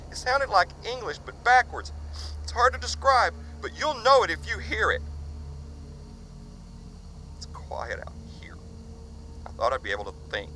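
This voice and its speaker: A haunted father, played by a man, speaks a halting farewell in a high, swooping voice. He talks slowly and drops to a hush at times, with a bright tone and a faint hiss behind him. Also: heavily acted, poor audio. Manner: halting, confessional, hushed at times. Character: grieving father leaving a farewell recording. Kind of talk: audio drama.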